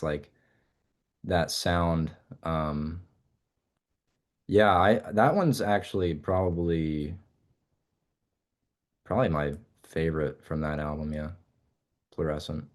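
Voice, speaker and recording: Young man with a very low voice who talks casually and loosely, full of fillers and restarts and ideas that trail off as he chats with an interviewer. He comes across as a relaxed musician guest. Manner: rambling, halting with many fillers and restarts.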